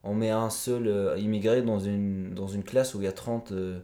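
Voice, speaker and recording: A man's voice: on the low side, steady tone, normal speed, mellow, reverberant. A man talking as a podcast guest, earnest and reflective, explaining his views at length in a conversational interview.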